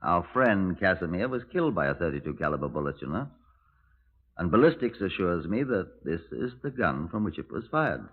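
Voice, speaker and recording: An actor playing a wry, genial British police superintendent in clipped 1950s radio drama style, on a vintage recording. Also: male voice, sits low, sing-song, fairly quick, breathy edge, exaggerated voice. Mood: brisk. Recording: tinny, narrow-band vintage radio recording.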